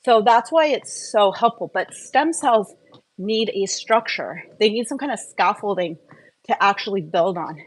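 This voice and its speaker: A woman with a medium-pitched voice talks as the host of an informal live stream. She teaches in an enthusiastic, emphatic way. Her delivery is casual and chatty, with asides to the viewers. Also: expressive, measured pace, rough recording.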